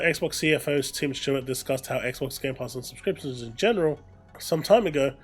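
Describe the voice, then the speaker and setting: A man commenting casually on gaming business news, reading aloud and adding explanatory asides, often repeating phrases for emphasis. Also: mid-range voice.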